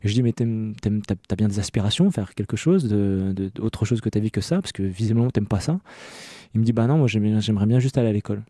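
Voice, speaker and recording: A man in his early thirties from France, an interview guest telling personal stories conversationally in a hesitant, halting way that often doubles back on words. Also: fairly low pitch, warm tone, no room sound, studio quality. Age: early 30s. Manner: hesitant, halting delivery with many self-repetitions. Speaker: interview guest, clothing maker.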